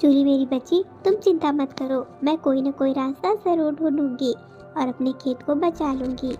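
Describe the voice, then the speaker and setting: A woman acts out a worried, hardworking mother bird for a children's cartoon. Her voice sits high and pleads tenderly and earnestly. The sound is a little dull, with music playing beneath. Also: moderate pace, warm tone, close to an everyday voice. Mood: worried, sorrowful. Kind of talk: Hindi children's cartoon dialogue.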